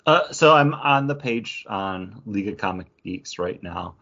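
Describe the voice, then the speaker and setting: A man's voice: lowish voice, expressive, unhurried, gravelly, bright, forceful tone. A man in his forties co-hosting a podcast, chatting casually with frequent laughs and lots of filler words. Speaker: podcast co-host. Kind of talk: casual chat. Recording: slightly dull lo-fi audio.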